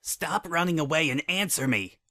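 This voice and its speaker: A man voicing a stern, angry young female cartoon pony in a storybook reading, forceful and demanding. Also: on the high side, lively intonation, normal speed, soft background music, pitched above the performer's own voice.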